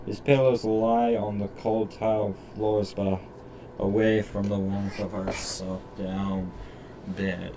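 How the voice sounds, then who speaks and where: Low voiced guy reading game text on stream, stumbles and swears, sounds away from the mic. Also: flat delivery, takes it slowly, background noise. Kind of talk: live playthrough, reading story text aloud with crude commentary. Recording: distant mic, game music behind. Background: game music.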